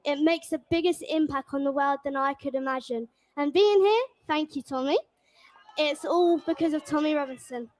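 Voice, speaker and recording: Woman, very high bright voice, cheeky and rousing, outdoor rally speech. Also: normal speed. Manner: cheeky, rousing, calls out to crowd. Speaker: rally speaker. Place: outdoor stage at a street rally.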